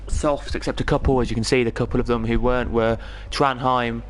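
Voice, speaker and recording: A man's voice: medium pitch, level tone, fairly quick, slight background noise. A man from England with an English accent, giving chatty live commentary on an online racing league. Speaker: esports race commentator.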